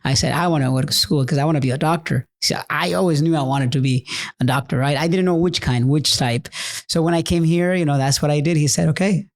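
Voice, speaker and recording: A man's voice: a little high, quick pace. A middle-aged man from El Salvador, a chiropractor guest talking casually with many fillers and frequent laughs. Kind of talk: casual conversation.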